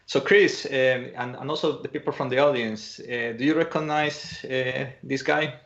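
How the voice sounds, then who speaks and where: A man's voice: mid-range voice, steady tone, moderate pace, fairly bright tone. A man from Colombia giving an online conference talk, hesitant, with many fillers and restarts, over muffled video-call audio. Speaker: presenter.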